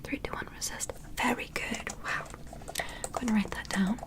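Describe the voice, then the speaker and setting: A woman's voice: medium pitch, steady tone, moderate pace. Whispering woman in ASMR medical roleplay. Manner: soft, soothing, encouraging, step-by-step instructions. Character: gentle, curious alien medic examining a human. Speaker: ASMR performer playing a medical examiner.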